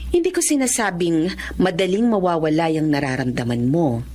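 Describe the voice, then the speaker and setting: A woman acting a caring doctor, wife and daughter in a Filipino radio drama, speaking gently with some English mixed in. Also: low-pitched, lively intonation, moderate pace. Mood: gentle, reassuring. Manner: gentle, counselling, code-switches into English.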